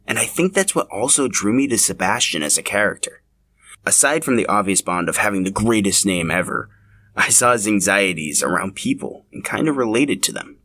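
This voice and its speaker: A man's voice: sits low, sharp tone. A man narrating a scripted podcast as its host.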